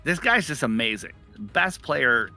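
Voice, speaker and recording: Male co-commentator for esports, strikingly bright forceful tone, mid pitch, chatty play-by-play. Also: sing-song, unhurried, faint music behind. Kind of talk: live game commentary, conversational.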